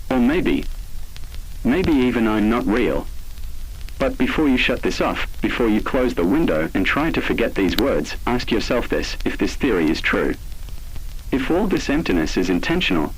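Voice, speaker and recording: A man narrates analog horror in a low, husky voice. His delivery is scripted, ominous and deliberate, with expressive swings in pitch as he addresses the listener directly. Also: low-quality recording. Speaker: narrator of an online horror essay.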